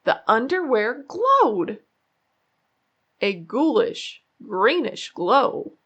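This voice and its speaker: A woman reading a children's story aloud in an expressive way, drawing out key words for suspense. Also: fairly high pitch, drawn-out words, husky, highs cut off. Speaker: children's book narrator. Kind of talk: animated read-aloud storytelling.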